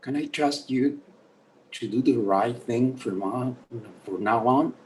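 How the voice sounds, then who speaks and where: A world-weary old Mexican grandfather in a drama table read, played by a man. He talks slowly and sternly, admonishing in a mid-pitched voice. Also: darkish tone, a little hiss or hum, lightly acted, poor audio. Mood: stern, forgiving. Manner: measured, admonishing.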